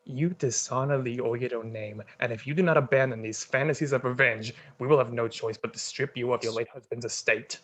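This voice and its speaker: A man's voice: medium pitch, steady tone, a little fast, few pauses, a bit bright, close to an everyday voice. A man acts out a stern lord ruling over a court in a dramatic reading. He is haughty and sneering, with a mid-range male voice.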